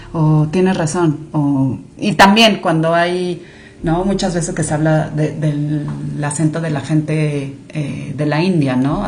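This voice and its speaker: A woman's voice: deep voice, darkish tone. A Mexican woman speaking as a podcast guest, chatting casually and animatedly with frequent fillers. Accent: Mexican Spanish. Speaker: podcast guest, clinical monitoring director. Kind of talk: casual conversational interview.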